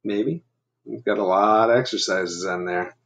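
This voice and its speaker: A man in his fifties reading a picture book aloud to children, adding chatty asides and gentle questions. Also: on the low side, a little slow, gravelly, background noise. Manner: asking the listeners gentle questions. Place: living room at home. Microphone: laptop webcam at arm's length.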